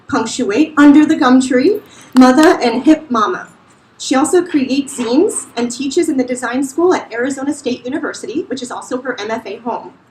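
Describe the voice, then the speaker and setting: A woman's voice: high voice, a little hiss or hum. A woman hosting a live reading event, upbeat and chatty, laughing easily as she runs a raffle. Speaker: host introducing readers. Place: bar with a live audience. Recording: roomy live bar sound.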